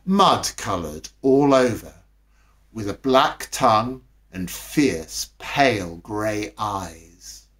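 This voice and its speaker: A man voicing a bachelor calmly spinning a tale in a storybook reading, deliberate and matter-of-fact. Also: fairly low pitch, full character voice. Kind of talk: storybook character voice.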